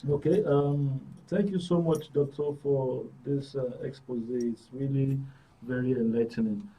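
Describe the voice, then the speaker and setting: A man hosting a current affairs interview, measured and formal, pausing as he frames questions. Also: medium pitch, fairly even intonation, warm tone, slight background noise. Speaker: programme host. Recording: dull, slightly distant studio sound.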